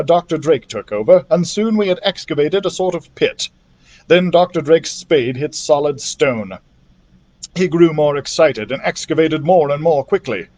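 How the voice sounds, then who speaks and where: A man reads a children's adventure book aloud in an expressive storytime style. His voice is a little higher than average for a man. He phrases the descriptions carefully, with a sense of drama. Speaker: library storytime reader. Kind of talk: expressive scripted storybook narration.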